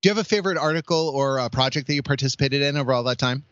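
A man hosting a podcast in casual conversation, wordy and halting, often chuckling as he talks. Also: mid-range voice, a bit bright, dry recording, muffled recording. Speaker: podcast host.

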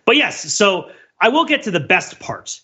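Animated, bright-voiced man in casual chat. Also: high-pitched, moderate pace, slightly rough, muffled recording. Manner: rambling, animated storyteller. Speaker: podcast host. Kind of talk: casual chat, humorous pop-culture commentary.

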